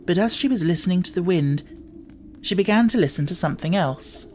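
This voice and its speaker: A man's voice: sits high, lively intonation, fairly quick, darkish tone. Male audiobook narrator, some background noise. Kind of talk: scripted storybook narration.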